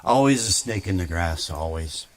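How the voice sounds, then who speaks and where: A man's voice: sits low, lively intonation, moderate pace. A man streaming live while making music, talking casually to his chat in a rambling, aggrieved way with lots of stammered restarts. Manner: grumbling, aggrieved.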